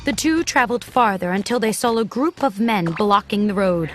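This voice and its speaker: A woman's voice: mid-range voice, animated, moderate pace. A woman narrating a scripted children's story as the storyteller. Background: clear background music.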